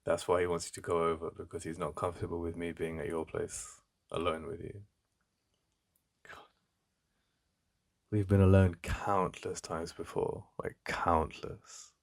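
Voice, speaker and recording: A man with an English accent playing a jealous, protective best friend in an intimate ASMR roleplay, speaking in a halting, conversational way with emphatic repetition. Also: level tone, creaky. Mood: hurt, incredulous. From England. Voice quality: deep.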